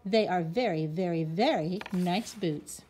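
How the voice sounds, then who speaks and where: A woman voicing a storybook mother koala, sensible and a little weary, with measured, emphatic parental delivery. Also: fairly low pitch, dark tone, a little hiss or hum, natural voice. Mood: cheerful.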